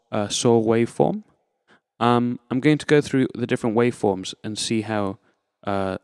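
A man presenting an instructional demonstration step by step, with measured phrasing and pauses, speaking into a close dynamic microphone. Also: fairly low pitch, gravelly, clean tone, dry recording. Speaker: presenter of a synthesizer tutorial. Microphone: external dynamic microphone, close.

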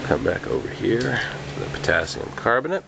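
A man's voice: medium pitch, moderate pace, creaky. A man giving a casual, rambling walkthrough of his home basement winemaking setup, filmed on a handheld camera. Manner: relaxed, rambling, hesitant with fillers. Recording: noisy camcorder audio with fan hum. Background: fan and air conditioning hum.

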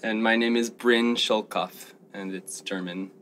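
A man's voice: fairly low pitch, fairly even intonation, unhurried, fairly bright tone. A man in his twenties with an American accent, chatting casually as an interview guest. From Vermont, United States. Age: 20s. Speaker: guest, circus artist. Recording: noticeable background noise, room ambience.

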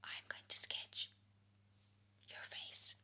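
A woman in her twenties whispering gentle instructions in an ASMR personal-attention role-play, close to the microphone. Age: 20s. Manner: soft, slow, gentle instructions with pauses. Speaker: ASMR creator, sketch artist role-play. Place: indoor studio with plain dark backdrop.

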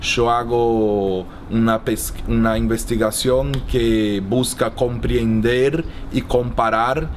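A man's voice: mid-range voice, fairly even intonation, slow, hardly any pauses, a little hiss or hum. A man in his thirties from Brazil, a PhD student, speaking steadily to camera outdoors with a few fillers. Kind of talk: interview to camera. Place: outdoor courtyard.

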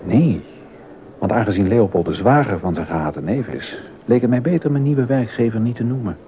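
A man's voice: lowish voice, expressive, normal speed. An actor playing a proud, aggrieved German baroque composer and court organist in a Dutch docudrama, indignant and wry as he tells his grievances. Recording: dull sound with background noise.

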